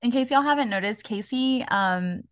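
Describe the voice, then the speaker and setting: A woman's voice: fairly high pitch, normal speed, no room sound, clean studio sound. A young woman co-hosting a casual podcast chat, talking loosely with lots of fillers and laughs. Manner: chatty, lots of likes and laughs. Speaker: podcast co-host.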